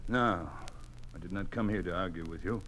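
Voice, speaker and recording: A cold, imperious government official. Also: male voice, low voice, moderate pace, fairly bright tone, a little hiss or hum. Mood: cold command. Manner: curt, imperious, formal. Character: cold hardline male ministry official, menacing and contemptuous. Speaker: actor. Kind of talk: 1950s radio drama acting.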